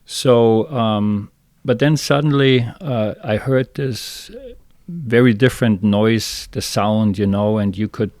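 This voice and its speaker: A man from Germany with a southern Bavarian accent, a guest on a podcast telling his story in a halting way, with many fillers and restarts. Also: fairly low pitch, a little mellow, no room sound, clean studio sound. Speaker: guest, fishing guide. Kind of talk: casual interview storytelling.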